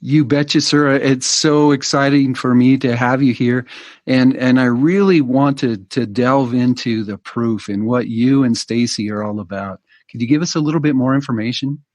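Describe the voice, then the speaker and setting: A man's voice: medium pitch, normal speed, no echo. A man hosting a podcast, enthusiastic and upbeat as he asks his guest questions. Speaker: podcast host. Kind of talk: casual podcast interview.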